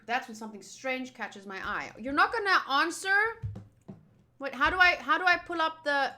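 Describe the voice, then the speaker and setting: A woman's voice: sits high, expressive, normal speed, sharp tone, slight background noise. A woman livestreaming a visual novel playthrough, reading game text aloud and adding playful, chatty asides. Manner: playful, chatty, self-interrupting. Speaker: streamer playing a visual novel.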